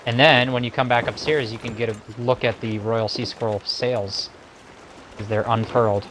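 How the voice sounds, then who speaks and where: A man co-hosting a game stream, chatting and explaining in a casual, somewhat halting way. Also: medium pitch, steady tone, fairly bright tone, slight background noise, rough recording. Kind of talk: casual game commentary and chat.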